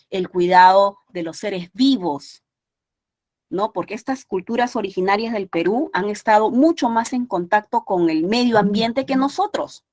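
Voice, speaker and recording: Peruvian woman teacher, mid-pitched, conversational lecturing with many tag questions, dry close sound. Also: darkish tone, no echo. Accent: Peruvian Spanish. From Peru. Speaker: language teacher. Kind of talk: exam prep class lecture.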